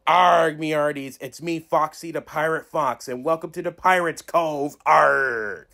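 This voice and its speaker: Dude doing a hearty pirate fox robot, arr-style talk, pitched up a bit. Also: male voice, unhurried, creaky, bright, forceful tone, full character voice.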